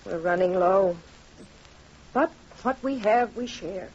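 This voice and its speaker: A woman's voice: mid-range voice, slow, a little mellow. An actress playing a worried, kindly middle-aged fisherman's wife in an earnest old-time radio drama style. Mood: subdued, resigned. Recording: dull, noisy vintage radio recording.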